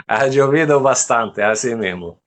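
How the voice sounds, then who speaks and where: A middle-aged man from Jordan, answering interview questions in a relaxed way with frequent fillers. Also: medium pitch. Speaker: guest. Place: mosque.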